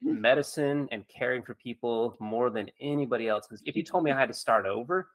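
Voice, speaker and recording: A man's voice: medium pitch, fairly quick, low-quality recording. A man speaking as a podcast host and doctor, interviewing in a conversational style.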